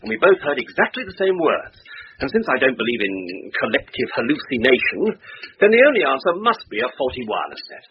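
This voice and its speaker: An actor playing a rational, dismissive middle-aged English husband in a brisk, emphatic old-time British radio drama style. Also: male voice, mid-range voice, sing-song, close to an everyday voice. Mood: exasperated, insistent. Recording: muffled, hissy old radio recording.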